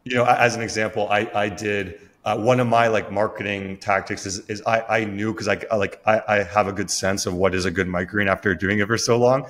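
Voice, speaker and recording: A man with a fairly low voice hosts a relaxed conversation over a video call. He explains things in halting, stop-and-start phrases, working out his thoughts as he goes. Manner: halting, thinking aloud. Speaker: podcast host, microgreens grower. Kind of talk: casual interview conversation. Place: small room indoors, on a video call.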